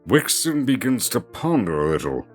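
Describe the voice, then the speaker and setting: A man delivers scripted story narration in a mid-range voice. His pitch moves animatedly to build drama. Music plays clearly behind him. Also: very animated, moderate pace.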